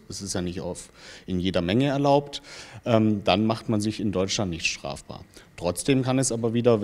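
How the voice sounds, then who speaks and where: A man in his thirties giving measured, matter-of-fact expert answers in an interview, into a handheld microphone. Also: fairly low pitch, a little mellow. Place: small indoor information room with bookshelves. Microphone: handheld microphone held out to him.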